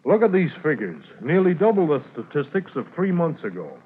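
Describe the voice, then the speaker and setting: A man's voice: a little high, very animated, a little fast, slightly rough. A man playing a determined, authoritative Mountie sergeant in a 1950s radio drama on an old radio recording. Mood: urgent, businesslike. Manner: authoritative, clipped. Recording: tinny, hissy 1950s radio transcription.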